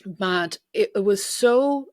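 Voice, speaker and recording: A woman hosting a solo podcast as a career coach, talking chattily and straight to the listener, her voice husky from a recent illness. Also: mid-range voice, expressive, takes it slowly, a little mellow, dry recording, studio quality. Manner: chatty, personal, addresses listener directly.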